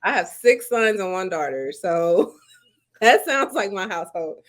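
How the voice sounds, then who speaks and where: A woman's voice: mid-range voice, expressive, a little slow. A middle-aged woman hosting an interview, asking questions and affirming her guest's answers. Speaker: host. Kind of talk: casual interview.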